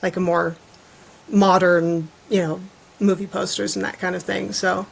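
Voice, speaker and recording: A woman's voice: fairly low pitch, level tone, unhurried, creaky, low-quality recording. A woman guesting on a podcast as an artist, explaining casually and acting out imagined reactions as little quotes.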